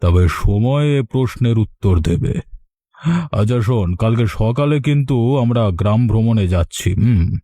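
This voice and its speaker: A man voicing a genial older uncle visiting from the city in a cartoon, with a husky, unhurried, approving manner. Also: on the low side, mellow, no room sound, clean studio sound. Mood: pleased, thoughtful. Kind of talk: cartoon voice acting.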